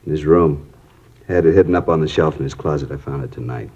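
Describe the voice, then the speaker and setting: An actor playing a distraught middle-aged father who talks in a halting, desperate, strained way, in a 1950s radio drama. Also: very deep voice, mellow, breathy edge, heavily acted. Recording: dull lo-fi 1950s radio broadcast.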